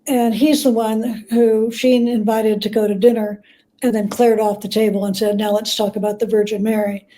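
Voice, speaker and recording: A woman talking as an interview guest, telling stories in a halting, reflective way with repeated words. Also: medium pitch, flat delivery, mellow, poor audio. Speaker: guest author and biographer. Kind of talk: conversational interview storytelling.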